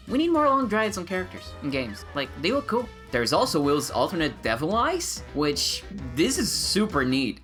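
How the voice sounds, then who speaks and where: A woman's voice: sits low, a bit bright. A woman giving casual, sarcastic commentary on game mods, with playful mock-dramatic rants. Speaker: gaming video creator.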